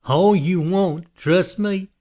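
Man acting out a deranged killer, slow taunting, raised pitch. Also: warm tone. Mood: gloating. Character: deranged sadistic male killer hitchhiker, gloating and menacing.

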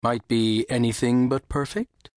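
Man acting a light-voiced, gentle wizard teacher. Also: medium pitch, fairly even intonation, unhurried, dry recording, studio quality, natural voice. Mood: teasing. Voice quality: light male voice. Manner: articulate, mildly formal. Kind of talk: audiobook character acting.

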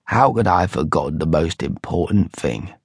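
A man voicing a testy, proud, absent-minded English professor with a husky Cockney accent, in an audiobook story. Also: medium pitch, moderate pace, no echo, clean studio sound. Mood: grumbling.

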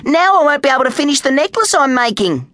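A woman's voice: very high-pitched, wildly swooping pitch, drawn-out words, sharp tone, dry recording, pitched above the performer's own voice, full character voice. A woman voicing whiny, squeamish schoolchildren with wailing delivery in a children's storybook. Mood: lamenting. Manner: wailing, screechy.

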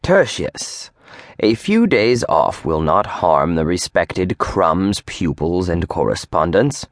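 A man's voice: low-pitched, sing-song, takes it slowly, no room sound, studio quality, full character voice. A man voicing a stern, pompous male demon provost with a grating, officious voice.

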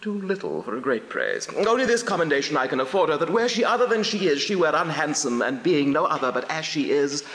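An actor playing a witty, mocking bachelor lord who scorns love, in bantering, declamatory Shakespearean stage style. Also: male voice, on the high side, big pitch swings, brisk, hardly any pauses, fairly bright tone, roomy sound, in a large hall. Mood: teasing. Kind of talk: theatrical Shakespearean stage acting.

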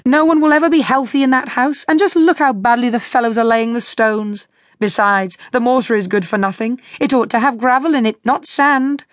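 A woman's voice: on the high side, a little fast, no echo, close to an everyday voice. A woman voicing a grumpy, fault-finding old male shoemaker who scolds and blusters. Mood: ranting.

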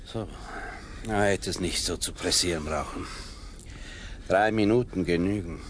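An actor playing a terse, probing police sergeant in a radio drama, with dry questioning. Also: male voice, sits low, unhurried, a little hiss or hum. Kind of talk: German radio drama acting.

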